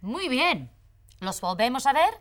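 A woman's voice: sits high, sing-song, normal speed, a bit bright. A woman co-hosting a bilingual English lesson, playful and teasing with her partner as she models English phrases. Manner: playful, teasing banter with co-host. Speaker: language teacher co-host.

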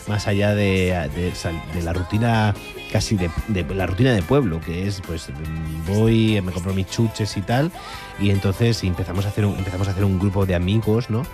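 A man's voice: low-pitched, moderate pace, over music. A man in his thirties from Almería, a novelist chatting on a radio interview, restarting often and laughing easily. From Almería, Spain. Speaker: novelist guest.

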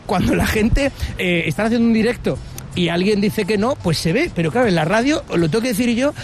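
High-voiced chatty reporter. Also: male voice. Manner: chatty, joking, many fillers. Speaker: radio street reporter. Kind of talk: casual street interview. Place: outdoors on a busy city street.

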